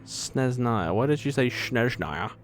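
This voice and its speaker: Very deep-voiced woman, flippant gaming commentary. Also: animated, a little slow. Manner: flippant, joking, sweary. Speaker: gaming video host. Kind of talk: casual game commentary, reacting to dialogue. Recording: faint game music behind the voice.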